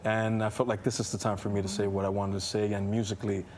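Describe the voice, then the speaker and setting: A man from the Bronx whose first language is Spanish talks earnestly as a studio guest. He pauses and restarts now and then, and a faint hiss lies under his voice. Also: lowish voice, level tone, a little mellow. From the Bronx, New York. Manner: earnest, thoughtful, with small hesitations. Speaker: guest singer. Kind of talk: casual chat.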